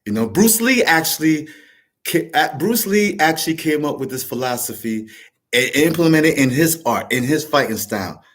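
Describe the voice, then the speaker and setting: A man's voice: on the high side, expressive, normal speed, fairly bright tone. A man hosting his vlog, talking through a casual motivational monologue, rambling and restarting often. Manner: rambling, repetitive, frequent restarts. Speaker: vlog host.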